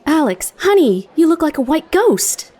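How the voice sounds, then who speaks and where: Actress as tender worried mum, soft mellow tone, higher pitch, soothing, audio drama. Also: female voice, sing-song, normal speed. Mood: concerned, tender. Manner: soothing, maternal. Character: tender, worried mother of a teenage boy.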